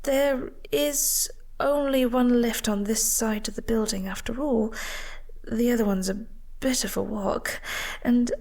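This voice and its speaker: Woman doing a flirty vampire coworker, soft breathy high-ish voice with lots of fry, hesitant and polite, audio rp style. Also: steady tone, unhurried, no echo. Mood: awkward, coy. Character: flirty, awkward British vampire woman, office co-worker. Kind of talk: intimate audio roleplay acting.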